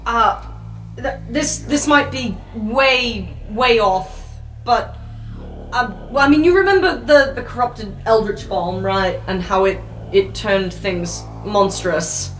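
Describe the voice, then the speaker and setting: A woman playing a polite, thoughtful student mage, speaking in a halting, tentative way. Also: mid-range voice, natural voice. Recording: roomy sound with music behind.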